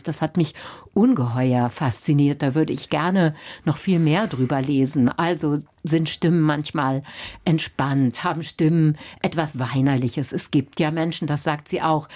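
A woman's voice: low-pitched, mellow. Thoughtful woman discussing a book on radio. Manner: reflective, thoughtful explaining.

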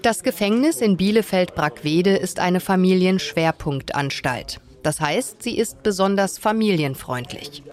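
A woman reporter reading a scripted radio reportage. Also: on the low side.

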